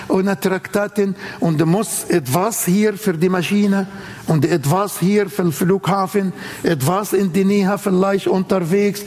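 A man's voice: high-pitched, darkish tone, long reverb tail. A man with an Arabic accent preaching an earnest sermon in a church setting, quoting scripture with emphasis.

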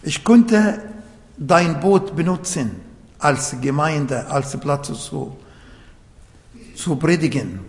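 A man preaching a sermon in German, which is not his first language, slowly and emphatically, with small grammar slips. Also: on the high side. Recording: long hall reverb.

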